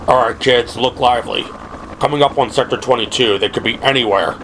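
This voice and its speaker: A man's voice: mid-range voice, fairly bright tone, slight background noise, close to an everyday voice, poor audio. Man playing a cool, commanding police captain. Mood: brisk, commanding. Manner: subdued, measured, authoritative.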